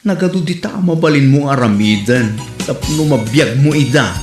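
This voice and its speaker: A man playing a practical parent giving steady advice in an Ilocano comedy audio drama. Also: mid-range voice, normal speed, loud music behind the voice, lightly acted. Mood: admonishing. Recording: roomy, echoey.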